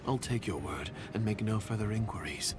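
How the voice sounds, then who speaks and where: A shrewd, cold secret agent villain from a video game, voiced by a man. His voice sits fairly low but moves animatedly, condescending and formal, with music clearly behind it. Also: animated, lightly acted. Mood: measured. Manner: measured, dismissive, formal.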